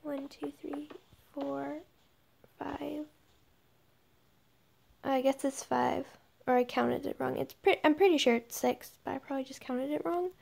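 High-pitched, creaky young woman gamer. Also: fairly even intonation, measured pace, pauses often, darkish tone. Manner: murmured counting under breath, thinking aloud. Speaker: gaming video creator. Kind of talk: casual game commentary while building. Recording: noisy home recording with clicks.

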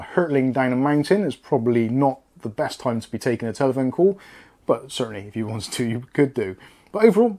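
A man's voice: medium pitch, darkish tone. A man in his forties with an English accent, giving a casual, matter-of-fact product review as a channel host. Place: small home studio room.

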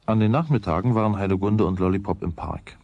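An actor playing the storyteller, narrating a German children's radio play from a script. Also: male voice, fairly low pitch, quick pace, darkish tone, highs cut off, natural voice. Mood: calm storytelling. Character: male storyteller of a children's tale.

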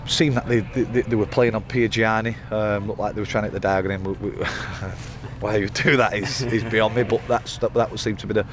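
A man in his thirties, a football manager giving a post-match interview outdoors by the pitch at night, talking in rambling runs full of fillers and restarts. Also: steady tone, normal speed, hardly any pauses. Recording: outdoor interview with loud background noise.

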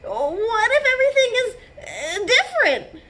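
Woman acting an excitable, high-pitched little girl chipmunk. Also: unhurried, heavily acted. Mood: anxious, worried. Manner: repeats words, exclaims loudly. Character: excitable, anxious young girl chipmunk, eager and friendly.

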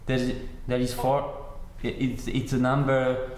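A man with a mid-pitched voice talks haltingly, like a naive, confused customer on a support call. His English phrasing is non-native. The sound is noticeably echoey. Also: completely flat delivery, darkish tone. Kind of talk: hesitant phone conversation.

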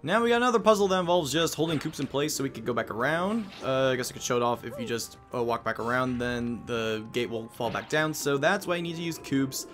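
A man hosting a let's play, giving chatty, self-mocking commentary with quick asides. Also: medium pitch, animated, a bit bright, faint music behind. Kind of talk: casual game commentary.

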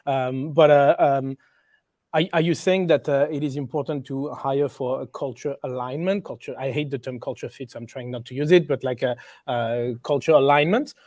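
A man's voice: mid-range voice, a little mellow, no echo. A man speaking as a panel guest on a video call, working through a question hesitantly with many fillers. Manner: hesitant, many fillers while checking his understanding. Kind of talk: casual panel discussion.

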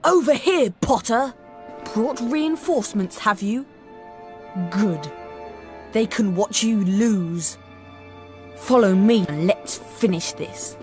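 A sneering schoolboy wizard bully in a video game. He drawls slowly, smug and taunting, over prominent music. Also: medium pitch, expressive. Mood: sneering challenge.

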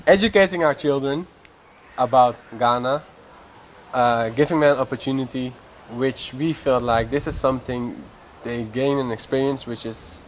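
A man from the Netherlands in his thirties, a native Dutch speaker, answering earnestly and haltingly. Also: mid-range voice, slight background noise, rough recording. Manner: earnest, halting, searches for words. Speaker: guest, family vlogger. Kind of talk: casual interview.

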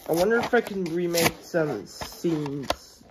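A toy reviewer giving a casual unboxing review at home, rambling and hesitant with many restarts, excited about the toys, recorded on a handheld phone. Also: medium pitch, slow, noisy background.

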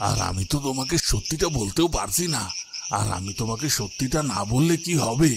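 A patient village husband played by a man with a medium-pitched voice, sad and pleading in a cartoon drama. Clear insect-like noise runs behind him. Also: lively intonation, brisk. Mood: sorrowful. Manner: pleading, reasoning.

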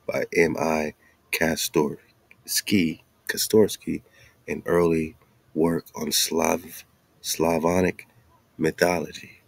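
Deep-voiced American man reading aloud. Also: gravelly, breathy edge, no room sound. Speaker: host. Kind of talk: reading a text aloud with off-the-cuff commentary.

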